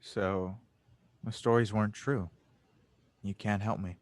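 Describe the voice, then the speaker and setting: A man's voice: lowish voice, fairly even intonation, unhurried, no room sound, close to an everyday voice. An earnest, troubled adventurer played by a man in an audio drama. He speaks seriously and with some guardedness. The sound is very dry. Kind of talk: fan audio drama table read.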